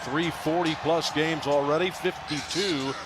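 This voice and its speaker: A man's voice: on the high side, fairly even intonation, measured pace, background noise. A man with an American accent doing live pregame commentary as a radio play-by-play announcer.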